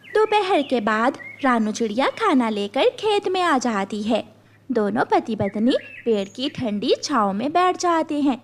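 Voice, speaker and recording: A woman narrating a children's story in an expressive, scripted storytelling style. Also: high-pitched, measured pace, slight background noise.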